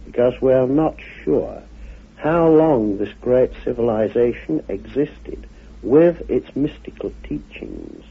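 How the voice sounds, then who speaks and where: A man giving an explanatory lecture on esoteric history, speaking in a deliberate, measured way with emphatic phrasing and pauses, on a muffled vintage radio recording. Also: mid-range voice, huge pitch swings, vocal fry, husky. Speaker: lecturer, guest speaker on a documentary.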